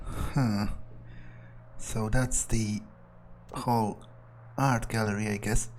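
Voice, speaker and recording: A man's voice: fairly low pitch, steady tone, slow, a little hiss or hum. A man narrating a game playthrough in character, thinking aloud in a musing, casually profane way. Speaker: gamer playing in character.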